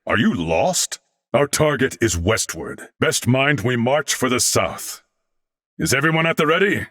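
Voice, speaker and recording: A man voicing a courteous older alien warrior-scholar in a video game, speaking formally and eloquently with measured diction. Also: mid-range voice, expressive, a bit bright, no room sound, studio quality. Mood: composed, commanding. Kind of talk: video game character voice lines.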